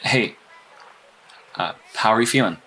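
Audio drama: awkward, sincere male agent played by a man, lowish and bright, halting and apologetic. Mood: subdued. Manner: halting, stammering, earnest delivery. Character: apologetic, earnest, socially awkward male hero-industry agent. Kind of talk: naturalistic audio drama acting.

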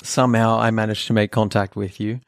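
A man's voice: fairly low pitch, level tone, a little fast, gravelly, no echo, studio quality. A man in his thirties from Australia whose first language is German, telling his life story in an earnest, reflective way with many fillers. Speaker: podcast co-host. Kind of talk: personal storytelling.

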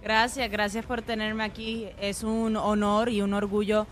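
A young woman chef with a blended Puerto Rican and Mexican Spanish accent, telling her story in an easygoing way and pausing on fillers. Her voice sits at an ordinary middle pitch. Faint room ambience hums underneath. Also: slight background noise. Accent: mixed Puerto Rican and Mexican Spanish. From Puerto Rico. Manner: relaxed storytelling, frequent "este" fillers. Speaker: guest chef. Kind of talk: radio interview.

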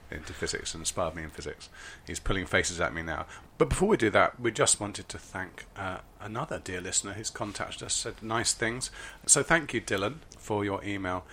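A man in his fifties co-hosting a podcast, chatting casually and jokily with a husky voice after a late night. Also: on the low side, sharp tone, slight background noise. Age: 50s. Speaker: podcast co-host. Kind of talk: casual chat. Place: garden summer house.

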